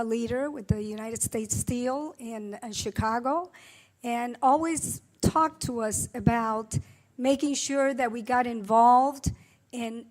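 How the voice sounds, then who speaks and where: Puerto rican woman, spanish is her first language, giving a thank-you speech, kinda high voice, lots of uhs and ums. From Puerto Rico. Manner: earnest, halting with many filler sounds. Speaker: award honoree. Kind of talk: acceptance speech.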